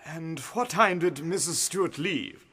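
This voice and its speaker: Higher-pitched, formal male coroner, acted. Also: unhurried, sharp tone, breathy edge, full character voice. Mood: official, probing. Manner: formal, probing. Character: brisk, formal male coroner questioning a witness. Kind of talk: radio play acting.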